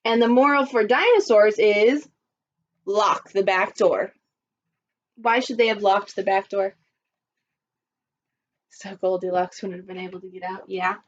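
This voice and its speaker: A woman's voice: mid-range voice, unhurried, long pauses, highs cut off. A woman primary school teacher speaking expressively and instructively to young children in simple phrasing, as in a read-aloud lesson.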